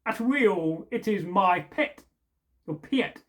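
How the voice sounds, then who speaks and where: Storybook wee boy, slow and defiant, read by a man in a raised voice. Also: high-pitched, lively intonation, fairly bright tone, heavily acted. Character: young wee boy answering a stranger.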